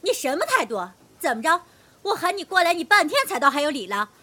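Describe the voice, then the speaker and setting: A woman's voice: extremely high pitch, sing-song, fairly quick, bright, forceful tone, exaggerated voice. A woman playing a pushy, irritable, haughty customer who scolds and demands in an audio drama. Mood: indignant, impatient.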